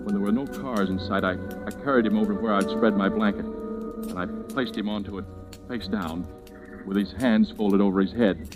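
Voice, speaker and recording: An actor playing an anxious young American man with a halting delivery in a 1940s radio suspense drama. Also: lowish voice, close to an everyday voice. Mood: haunted, hushed. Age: young adult. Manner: halting, repeats words. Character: anxious young man secretly hiding a killing. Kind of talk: 1940s radio acting, first-person suspense narration. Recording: old radio recording with dramatic music.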